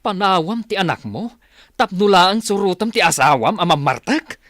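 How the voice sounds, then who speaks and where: A man playing a high-pitched, scolding elder brother. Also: lively intonation, moderate pace, a bit bright, no room sound, studio quality. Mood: scolding, exasperated. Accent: Ilocano. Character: scolding, exasperated elder brother, bluntly disapproving. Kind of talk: radio drama acting.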